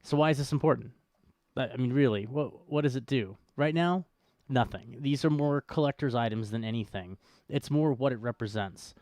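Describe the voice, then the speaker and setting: A man's voice: medium pitch, normal speed, a little mellow, no room sound, clean studio sound. A man hosting a live podcast, explaining things in an unscripted, conversational way. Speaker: podcast host.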